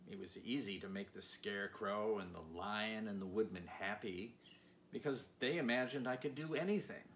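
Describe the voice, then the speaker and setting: A man's voice: fairly low pitch, moderate pace, fairly bright tone, close to an everyday voice. Man acting a genial humbug wizard, emphatic, noisy recording. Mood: musing, rueful. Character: little old humbug wizard, genial and breezily reassuring. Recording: clear background noise.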